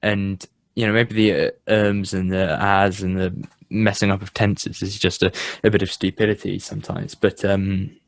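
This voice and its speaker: Halting young man with a Midlands accent. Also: low voice, level tone, no echo. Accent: English Midlands. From English Midlands. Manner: halting, many fillers and restarts. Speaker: podcast host. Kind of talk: casual podcast chat. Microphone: headphones and home mic.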